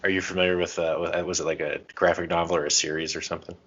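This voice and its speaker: Bright, low-voiced man chatting casually. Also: level tone, very fast, dull audio. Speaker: podcast co-host. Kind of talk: casual chat about tabletop roleplaying and comics.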